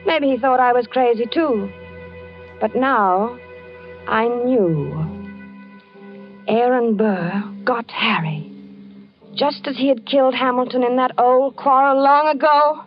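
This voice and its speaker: A woman's voice: fairly high pitch, takes it slowly, long pauses. An actress playing a frightened wife who narrates her husband's death with earnest, anxious storytelling in a 1940s radio drama. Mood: somber. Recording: dull vintage radio recording with music.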